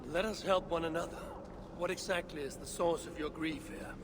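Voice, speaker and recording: An actor playing a terse, imperious Norse god in a video game, solemn and vengeful. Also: male voice, on the high side, steady tone, moderate pace, background noise, natural voice. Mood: measured, impatient. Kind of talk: cinematic video game acting.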